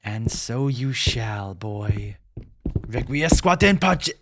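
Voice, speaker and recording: A man's voice: medium pitch, animated, slow pace, noisy background. A man playing a sinister, arrogant vampire lord in a fantasy audio drama, pompous and taunting, with theatrical delivery. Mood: contemptuous, wrathful. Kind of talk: villain acting in fantasy audio roleplay. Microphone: close, dry studio-style mic.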